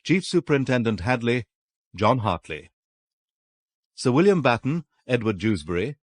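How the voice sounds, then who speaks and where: A man's voice: mid-range voice, big pitch swings, normal speed, no room sound. A man reading cast credits as a formal radio announcer.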